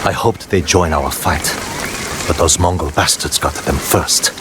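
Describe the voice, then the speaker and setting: A man acting as a gruff, guarded island raider in a video game, terse and dry, wry and weary. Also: low-pitched, measured pace. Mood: guarded, somber. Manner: terse, dry, clipped sentences. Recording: loud ambient noise behind the voice.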